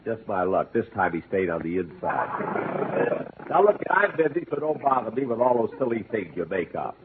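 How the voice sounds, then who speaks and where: A man hosting and starring in a 1940s radio comedy, giving exasperated, deadpan reactions. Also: lowish voice, moderate pace, noisy background. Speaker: comedy show host and lead. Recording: tinny radio broadcast with live audience.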